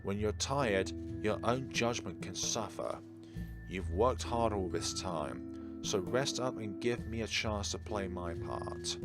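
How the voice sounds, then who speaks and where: A man's voice: lowish voice, slow. A man voicing an earnest, encouraging reporter in an emphatic, theatrical read-aloud of a visual novel. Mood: earnest, reassuring. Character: earnest young male reporter, self-reflective, encouraging his colleague. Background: game music.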